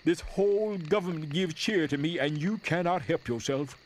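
Male, acting a proud soldier father in a historical stage reading; emphatic, righteous oratory, fairly high voice, some background noise. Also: moderate pace, lightly acted. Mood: defiant, threatening. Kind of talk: dramatic reading of a historical letter.

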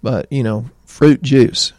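A middle-aged man with a Southern American accent, a doctor chatting in a folksy, rambling way about health, his voice slightly hoarse. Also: mid-range voice, steady tone, slow, a little mellow, no room sound. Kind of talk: health talk show chat.

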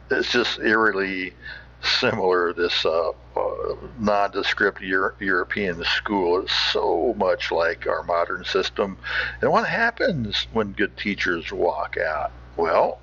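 Deep, gravelly man commenting casually. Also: lively intonation, pushed and bright. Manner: halting, musing. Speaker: podcast host. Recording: slightly muffled sound.

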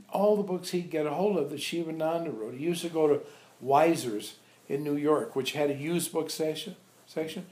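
A man informally reminiscing to visitors as a spiritual teacher, telling animated anecdotes and acting out remembered dialogue. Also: fairly high pitch. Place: echoey indoor room at an ashram. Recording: roomy, echoey sound.

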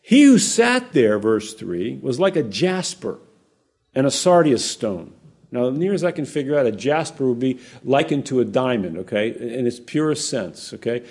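A male pastor teaching verse by verse in a casual, animated way, with frequent restarts and asides to the congregation. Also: mid-range voice, moderate pace, slightly rough. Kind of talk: conversational verse-by-verse Bible teaching.

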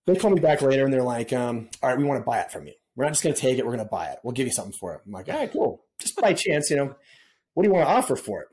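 A man's voice: medium pitch, sing-song, rapid-fire, a little mellow. Laid-back middle-aged man telling stories. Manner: laid-back, casual, says dude and man a lot. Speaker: podcast guest. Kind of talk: casual storytelling interview.

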